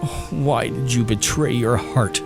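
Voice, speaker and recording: A man's voice: medium pitch, measured pace, vocal fry. A man playing a bitter, passionate, grieving lover in a melodramatic audio drama, tormented and intense. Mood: bitter reproach. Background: dramatic music.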